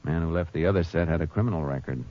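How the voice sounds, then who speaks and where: An American man playing a steady, terse Texas Ranger who narrates his own case in a 1950s radio drama, speaking in a clipped, matter-of-fact way. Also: very deep voice, very fast. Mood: businesslike. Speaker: lead actor and narrator. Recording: muffled old radio broadcast with music.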